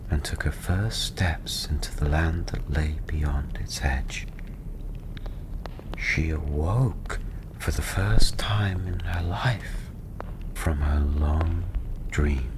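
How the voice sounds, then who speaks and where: Fantasy ASMR narrator: low-pitched, slow, breathy and hushed, over clearly audible background ambience. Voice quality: soft, breathy, half-whispered. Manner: soothing, hushed, unhurried. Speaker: storyteller. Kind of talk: ASMR fantasy bedtime storytelling, scripted narration. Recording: constant ambient noise behind the voice. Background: ambience, crackling tavern fire.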